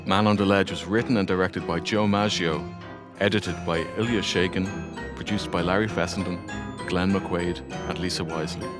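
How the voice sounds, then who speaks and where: A man's voice: low voice, fairly even intonation, moderate pace, over music. A man reading scripted closing credits as an announcer.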